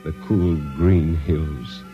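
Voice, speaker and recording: An actor playing a solemn, elegiac narrator in a 1950s radio drama. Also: male voice, a bass voice, fairly even intonation, very slow, exaggerated voice. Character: solemn male storyteller narrating a spaceman's death. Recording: dull vintage 1950s radio recording. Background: music.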